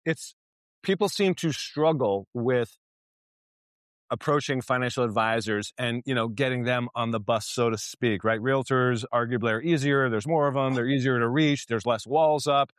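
A man's voice: mid-range voice, moderate pace, no room sound, studio quality. Casual male podcast host. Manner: casual, loose phrasing with fillers. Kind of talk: casual interview chat.

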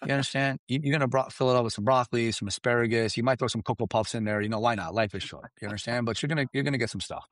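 A man whose first language is Farsi, giving emphatic, animated business coaching and often checking in with "right?" and "you understand?". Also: medium pitch, very fast, few pauses, no room sound. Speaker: guest expert. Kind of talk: energetic business coaching talk.

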